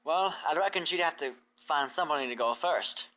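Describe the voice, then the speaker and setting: A folksy farm pony big sister from a cartoon story, acted out by a narrator. She is frank, protective and reassuring, in a bright voice with animated swings of pitch. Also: brisk. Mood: warmly frank. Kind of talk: cartoon fan fiction character reading.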